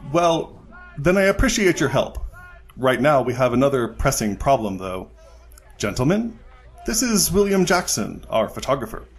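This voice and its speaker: An actor playing a skeptical but courteous expedition captain in an audio drama, speaking formally. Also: male voice, mid-range voice, very animated, moderate pace, husky. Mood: puzzled, courteous.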